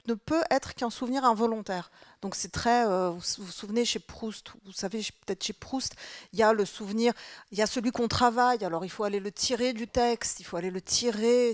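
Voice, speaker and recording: A French-born woman speaks at a live literary talk. Her voice sits at an ordinary middle pitch, and the sound is dry and close. She reflects aloud in long, winding sentences, pausing on fillers as her thoughts unfold. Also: no room sound. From France. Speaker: writer and guest speaker. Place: public talk on stage.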